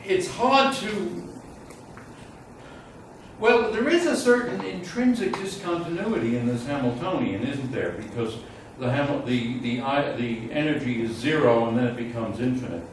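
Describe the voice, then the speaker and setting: Echoey, halting man lecturing from afar. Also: medium pitch. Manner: halting, thinks aloud, frank about not knowing. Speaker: university physics lecturer. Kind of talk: informal classroom lecture with student questions. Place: lecture room, mic away from speaker. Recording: roomy echo, distant mic, loud room noise.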